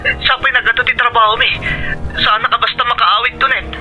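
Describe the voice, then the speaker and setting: A man's voice: very high voice, fairly even intonation, a little fast, sharp tone, muffled recording, soft background music, heavily acted. A man playing an anxious, devoted husband with an Ilocano accent, earnest and solicitous, through a telephone filter in an audio drama. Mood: concerned, obliging. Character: anxious, devoted husband working far away. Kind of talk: radio-style drama acting.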